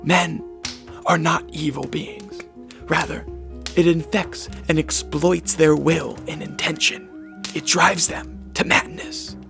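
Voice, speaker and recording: An actor playing an eccentric, grave scientist in a radio drama, lecturing in a theatrical, deliberate way. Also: male voice, on the high side, steady tone, vocal fry. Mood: grave, ominous. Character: eccentric male scientist doctor, grave, fervent, scattered. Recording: dramatic music behind the voice.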